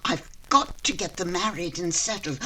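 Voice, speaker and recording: An actress playing a fretful, bossy middle-aged mother in 1940s radio comedy style, with a husky voice. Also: fairly low pitch, animated, normal speed, sharp tone, low-quality recording. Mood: exasperated.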